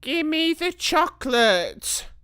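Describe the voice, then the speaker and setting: A woman's voice: high voice, sing-song, slow, bright, forceful tone, smooth, no echo, studio quality, pitched above the performer's own voice, heavily acted. Woman doing a whiny, greedy little brat. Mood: bratty demanding. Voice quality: whiny, demanding. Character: greedy, bratty, rude young boy.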